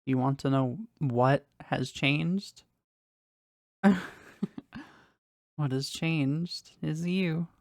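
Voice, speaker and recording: A woman playing a powerful, jealous demon devoted to their master in an intimate audio roleplay, speaking deliberately and intensely in a husky, breathy voice. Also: darkish tone, no room sound, clean studio sound, exaggerated voice. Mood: earnest, possessive. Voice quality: husky, breathy, low creaky voice. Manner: slow, deliberate, intense, dramatic.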